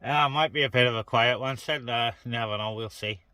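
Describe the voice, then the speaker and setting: A man's voice: mid-range voice, brisk, pushed and bright, smooth, no echo. A man chatting casually on CB radio, hosting an open call for other stations. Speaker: CB radio operator hosting a net. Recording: very noisy CB radio hiss and static.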